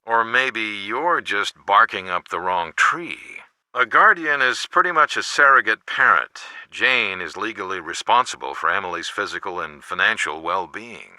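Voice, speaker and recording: An actor playing a caring, cautious middle-aged father in a video game, with a measured, advisory manner. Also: on the low side, animated, pushed and bright, no echo. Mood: cautioning, surprised. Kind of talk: scripted game voice acting.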